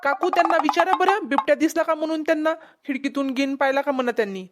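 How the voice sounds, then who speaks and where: Cartoon casting: woman as officious rescue worker, high voice, Vidarbha Marathi accent, questioning manner. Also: quick pace, very noisy, lightly acted. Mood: businesslike. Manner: questioning, instructing. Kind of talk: amateur cartoon comedy voice acting.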